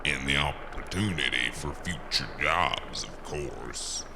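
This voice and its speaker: An actor playing a calm, businesslike underworld henchman in a noir audio drama, measured and faintly menacing. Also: very deep voice, gravelly, noisy background, exaggerated voice. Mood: persuasive.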